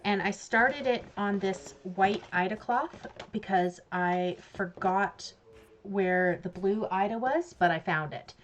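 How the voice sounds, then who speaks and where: A woman's voice: mid-range voice, slow pace, a little hiss or hum. A woman with a Canadian accent chatting casually about her crafting projects, giggly and self-mocking. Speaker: crafts vlogger. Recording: slightly dull lo-fi sound.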